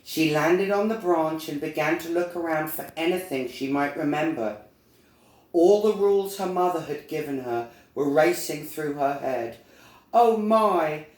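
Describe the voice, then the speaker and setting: A man narrating a children's storybook in a deliberate, expressive storytelling manner, recorded in an echoey room. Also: fairly high pitch. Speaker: storyteller reading a children's book.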